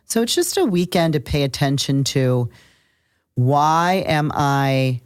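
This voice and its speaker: A woman's voice: sits low, big pitch swings, takes it slowly, clean tone, no room sound. A woman co-hosting an astrology podcast, chatting casually and emphatically in short bursts. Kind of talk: casual conversational astrology talk.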